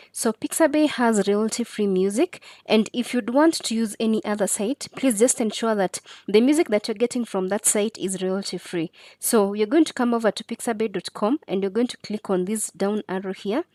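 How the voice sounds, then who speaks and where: A woman's voice: mid-range voice, darkish tone, no room sound, studio quality. A woman presenting a step-by-step screen tutorial in an instructional way.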